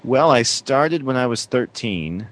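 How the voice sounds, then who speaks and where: A man's voice: medium pitch, expressive, unhurried, a bit bright, no echo. A man in his thirties from Massachusetts, a radio DJ chatting as a guest in a casual interview, rambling with many fillers and restarts. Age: 30s.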